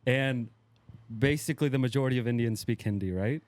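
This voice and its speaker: A man's voice: medium pitch, brisk, a little mellow. An American man co-hosting a casual podcast, blunt and provocative, often laughing. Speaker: podcast co-host. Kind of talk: casual chat.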